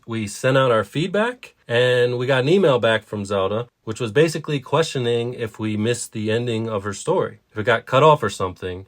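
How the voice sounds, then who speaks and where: A man co-hosting a writing podcast, talking through a story in a reflective, explanatory way. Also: medium pitch. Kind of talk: conversational literary discussion.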